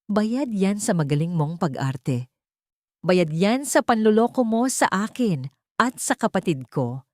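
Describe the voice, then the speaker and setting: A woman's voice: mid-range voice, animated, a little slow, darkish tone, no echo, clean studio sound, pitched above the performer's own voice, natural voice. Woman acting a bitter, menacing rich man. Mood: furious, hurt. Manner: menacing, accusing. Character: wounded, wrathful rich man, bitter and commanding.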